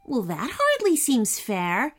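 A plucky young storybook girl, voiced by a woman. She is high and bright-spirited in pitch, frank and polite, with lively emphatic swings as she speaks. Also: takes it slowly, faint music behind, heavily acted. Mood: polite, challenging.

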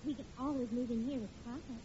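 A woman's voice: on the high side, steady tone, quick pace, close to an everyday voice. A woman playing a sweet, determined young American sweetheart, earnest and coaxing, in old radio comedy acting. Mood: hopeful. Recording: muffled, noisy vintage radio recording.

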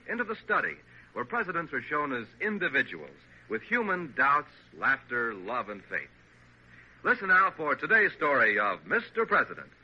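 A man's voice: fairly high pitch, slightly rough. A man with an American accent making grand, emphatic, formal scripted announcements in 1940s radio style. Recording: muffled, noisy old radio recording.

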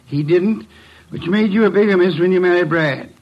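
An actor playing an imperious detective who speaks formally and precisely, in old-time radio mystery style. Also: male voice, high voice, moderate pace. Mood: stern, deliberate. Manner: commanding, formal, precise diction. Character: imperious, deliberate male detective, a mastermind unmasking a killer. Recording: muffled, dull old radio recording.

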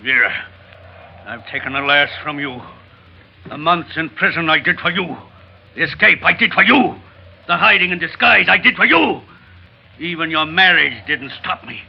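A bitter, betrayed escaped convict from a vintage radio thriller. His voice runs high for a man and creaks with gravel as he accuses. The old recording is dull, with faint music underneath. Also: animated, normal speed, pauses often, full character voice. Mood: bitter, wounded. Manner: forceful, accusing, menacing. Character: bitter, jealous escaped convict, male smuggler, armed and betrayed. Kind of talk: 1940s radio drama acting.

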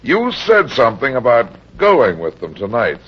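Dull old radio recording, shrewd heavyset private eye, American, hard-boiled, husky, animated pitch. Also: male voice, moderate pace. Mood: probing, skeptical. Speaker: actor playing detective and narrator. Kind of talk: old-time radio detective drama acting.

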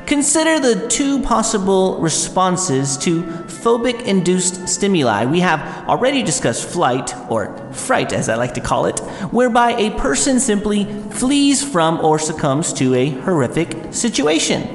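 A man acting as an arrogant audio drama professor, his delivery swooping and theatrical. He lectures with deliberate emphasis and an intense fixation on fear. The voice rings in a big reverberant hall, with faint music underneath. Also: sits high, heavily acted. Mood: lecturing, intrigued.

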